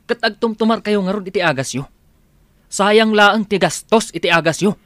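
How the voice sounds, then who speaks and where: A man's voice: high-pitched, moderate pace, fairly bright tone, no room sound. An actor playing an exasperated young policeman son, scolding emphatically in a radio comedy drama. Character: exasperated grown policeman son scolding his drunkard father.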